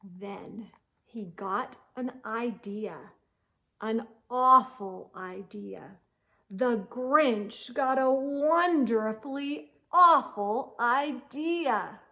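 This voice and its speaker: A woman with a fairly high voice reads a children's story aloud at a very unhurried pace. She is theatrical and expressive, stretching key words for emphasis. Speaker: children's storytime host and reader. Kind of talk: dramatic picture-book read-aloud.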